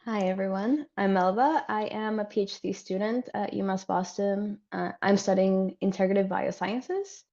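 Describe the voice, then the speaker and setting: A woman's voice: mid-range voice, steady tone, moderate pace, few pauses, mellow, muffled recording. A woman from Mexico, a PhD student, speaking earnestly on an online panel. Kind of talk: panel discussion. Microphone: video call.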